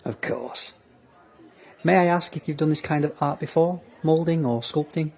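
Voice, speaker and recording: A man playing a patient, encouraging sand artist in a naturalistic audio drama, with a husky voice. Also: fairly high pitch, moderate pace, a little mellow. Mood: gentle, reassuring.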